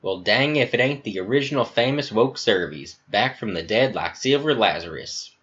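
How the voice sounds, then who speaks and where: A man's voice: on the low side, expressive, normal speed, fairly bright tone, lo-fi sound. A man voicing a coarse male soldier with an American Southern accent, sneering and folksy.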